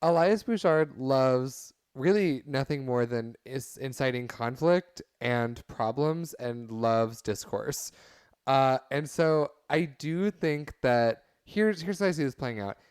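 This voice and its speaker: Smooth, close-sounding young man. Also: medium pitch, lively intonation, takes it slowly, a little mellow, dry recording. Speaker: podcast panelist. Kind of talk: casual comedic panel chat.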